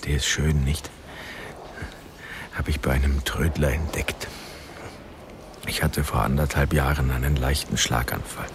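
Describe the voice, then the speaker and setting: Very deep-voiced, weary older father. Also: little pitch movement, background noise. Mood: subdued, tender. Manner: halting, resigned, bitterly self-deprecating. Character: weary, broken, self-mocking father, a failed scholar. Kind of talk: radio drama acting.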